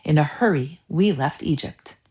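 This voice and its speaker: A woman voicing a gentle old grandfather who reminisces calmly in a children's storybook. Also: sits low, moderate pace, natural voice, rough recording. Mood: calm reminiscing. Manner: calm, storytelling.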